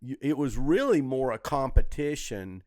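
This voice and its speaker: A man's voice: mid-range voice, very animated, normal speed, a little mellow, dry recording, clean studio sound. An older man in a casual podcast conversation, hosting and telling stories in a rambling, repetitive way. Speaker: comedy podcast host and club owner.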